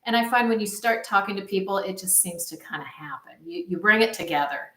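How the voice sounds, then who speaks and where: A woman's voice: mid-range voice, fairly quick, a bit bright. A woman giving a casual, rambling promotional talk as a supportive phone marketing coach, speaking informally. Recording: roomy, echoing sound.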